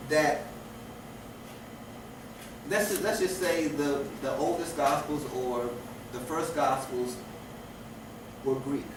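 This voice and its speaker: Man, teacher lecturing a class, rather high voice, loud echoey room, noise in the background. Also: distant mic. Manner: repeats and restarts phrases, asks rhetorical questions.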